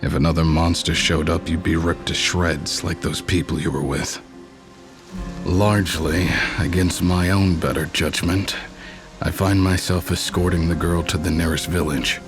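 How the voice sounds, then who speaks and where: Gravelly, deep-voiced brooding warlock. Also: male voice, fairly even intonation. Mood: dry, reluctant. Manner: grim, deliberate, dryly understated. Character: weary brooding male warlock, gruff outcast loner. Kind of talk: first-person scripted narration in a motion comic. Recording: loud dramatic music bed. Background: dramatic music.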